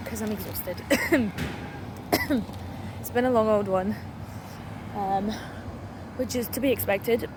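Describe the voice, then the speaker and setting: A young woman chatting casually into a handheld phone as she walks down the street, with plenty of fillers. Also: fairly high pitch. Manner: chatty, filler-heavy, walking while talking. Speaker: vlogger. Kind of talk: casual vlog chat. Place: outdoors on a street. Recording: phone vlog with street noise.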